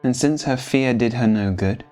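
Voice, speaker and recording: A man reading literary fiction as a storyteller, with measured, theatrical phrasing. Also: mid-range voice, mellow, soft background music. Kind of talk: dramatic literary narration.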